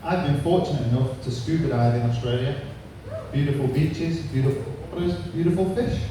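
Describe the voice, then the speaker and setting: A man's voice: a little high, brisk, dark tone. A man in his thirties with a Manchester accent, doing a crude, punchy stand-up set on a pub stage. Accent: Manchester English. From England. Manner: punchy crude joke delivery. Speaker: stand-up comedian. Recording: echoey room from far off, noisy.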